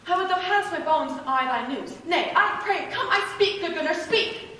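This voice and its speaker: A very echoey hall carries a woman acting a lovesick young noble girl in Shakespeare. Her voice is very high and bright, theatrical and full of eager, impatient pleading. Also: lively intonation, normal speed, full character voice. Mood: exasperated. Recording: echoey hall, distant mic, faint noise.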